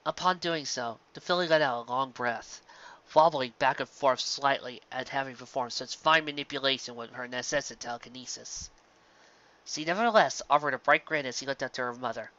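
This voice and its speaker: Fan-fiction narrator, bright, forward tone, scripted storytelling, somewhat muffled lo-fi home recording. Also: medium pitch, brisk.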